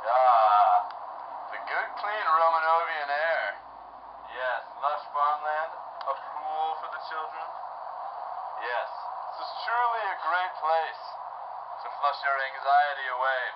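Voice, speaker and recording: A man playing a cheery, theatrical salesman pitching tourism in a scripted mock advertisement. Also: lively intonation, takes it slowly, far from the mic. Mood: upbeat, promotional. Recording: muffled, roomy amateur recording with noise.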